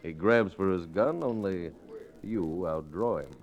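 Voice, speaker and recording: A deep-voiced scheming outlaw boss. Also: male voice, measured pace, muffled recording. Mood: sly, menacing. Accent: American. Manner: sly, commanding. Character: scheming, cold-blooded male outlaw boss. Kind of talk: old-time radio Western acting.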